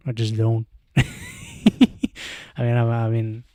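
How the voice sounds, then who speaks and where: A man's voice: medium pitch, monotone, slow, no echo, dull audio. A young man with a Bulgarian accent, chatting on a casual live stream, rambling and hesitant, with lots of fillers, into a handheld mic at home. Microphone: handheld microphone close to mouth.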